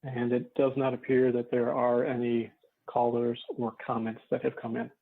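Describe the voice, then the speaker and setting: A man's voice: mid-range voice, completely flat delivery, normal speed. Dull-sounding man giving meeting updates. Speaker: meeting staff handling public call-ins. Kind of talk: informal procedural update. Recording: dull, lo-fi call audio.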